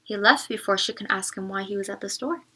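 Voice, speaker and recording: A woman reading a children's story aloud as a teacher, pausing to ask questions and explain words expressively. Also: mid-range voice, bright, forceful tone, breathy edge.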